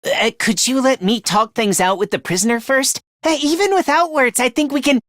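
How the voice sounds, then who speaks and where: A man's voice: extremely high pitch, moderate pace, fairly bright tone, dry recording, clean studio sound. A man voicing a timid, self-loathing young male academy fighter in a video game, hesitant and prone to stammering. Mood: earnest, pleading.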